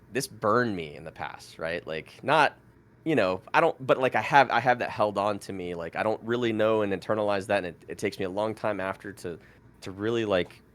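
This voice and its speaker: Thirties guy, native French speaker, low-pitched, chatty and meandering, restarts his sentences a lot. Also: a bit bright. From France. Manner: rambling, lots of "like" fillers and restarts. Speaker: podcast guest. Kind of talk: casual storytelling interview.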